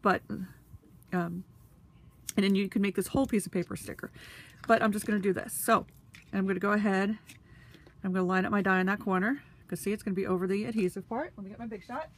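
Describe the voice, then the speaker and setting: A woman's voice: mid-range voice, fast talker, pauses often. Sniffly woman chatting through a craft demo. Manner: chatty, rambling with fillers; talks while handling tools. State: congested, sniffly. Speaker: crafting demonstrator. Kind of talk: casual tutorial demonstration.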